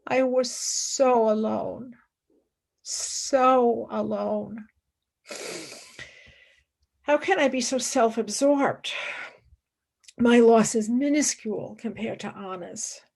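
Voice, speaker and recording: A woman playing an earnest, principled young philosopher and wartime nurse in a radio play, with measured, emphatic delivery. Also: on the high side, creaky, a little mellow, full character voice. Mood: anguished, reflective. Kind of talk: dramatic radio play acting.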